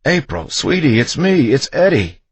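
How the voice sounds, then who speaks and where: Desperate husband for a dramatic audiobook, acted by a male narrator, husky, raised in pitch, urgent and pleading. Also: big pitch swings, slow, no room sound, full character voice. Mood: desperate, yelling. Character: desperate, pleading husband calming his terrified wife.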